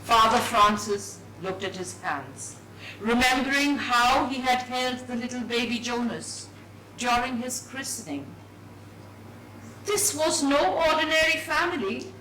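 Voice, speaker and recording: Woman reading a story dramatically, slow, echoey room, bit far from the mic. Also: on the high side, pushed and bright. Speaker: story reader. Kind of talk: dramatised book reading on stage. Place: echoey event hall. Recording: echoey hall, distant mic, faint noise.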